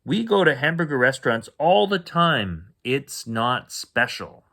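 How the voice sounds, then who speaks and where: A man voicing a firm, unimpressed old grandmother in a storybook, dismissive and drawn-out. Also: medium pitch, sing-song, sharp tone, heavily acted.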